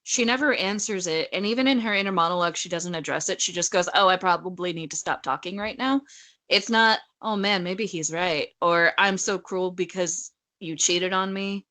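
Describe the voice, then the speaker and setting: A woman's voice: fairly low pitch, moderate pace, bright, forceful tone. A woman co-hosting a casual book discussion, blunt and argumentative, often acting out quotes as she goes. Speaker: podcast co-host.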